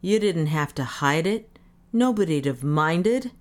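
A gruff, defiant drunkard, played by a woman reading an audiobook. He talks in brash slang with sardonic bravado, in a fairly low register. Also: lively intonation, a little slow. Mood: mournful. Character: gruff, drunken, defiant man taunting his doom. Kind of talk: dramatic audiobook character reading.

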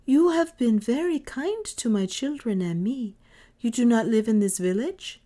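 A woman voicing a weary, kind mother in a storybook, speaking gently and wonderingly. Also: a little high, expressive, unhurried, voice pushed up. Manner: gentle, wondering, soft-spoken. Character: tired, kind, puzzled poor mother.

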